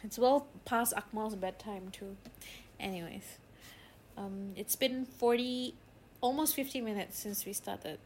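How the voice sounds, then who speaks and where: Relaxed podcast host woman, light background hiss. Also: medium pitch, a little slow. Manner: relaxed, laughing often, filler words. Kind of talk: casual chat. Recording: faint background noise.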